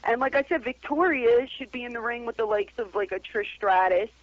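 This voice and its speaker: A woman's voice: on the high side, fairly quick. A woman calling in to a radio show over the phone, chatting casually and bluntly about wrestling as a co-host. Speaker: guest call-in co-host. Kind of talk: casual wrestling commentary chat. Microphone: telephone call-in. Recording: dull lo-fi phone-in radio audio.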